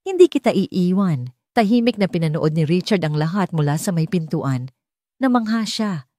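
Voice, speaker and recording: A woman's voice: fairly low pitch, animated, normal speed, dark tone, no echo. A woman with a Filipino accent reading a scripted romance story as an audiobook narrator.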